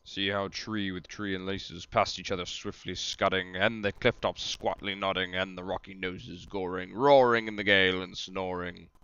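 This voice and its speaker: A man playing a wry, gloomy wanderer, reading verse in a measured theatrical way on a dry, slightly dull recording. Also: deep voice, fairly even intonation, gravelly, pushed and bright, clean tone, no room sound, heavily acted. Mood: observing. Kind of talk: amateur dramatic reading of verse.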